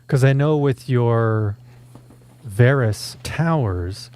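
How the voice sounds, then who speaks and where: A man's voice: medium pitch, very slow, a little mellow, clean tone. A man interviewing in a casual chat, with tentative, conversational phrasing as a product reviewer.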